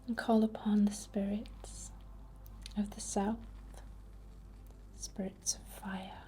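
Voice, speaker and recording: Slow, whispering woman in ASMR ritual. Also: medium pitch, level tone, warm tone, rough recording. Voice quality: breathy, mostly whispered. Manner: slow, incantatory, deliberate. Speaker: ASMR witch and Reiki healer.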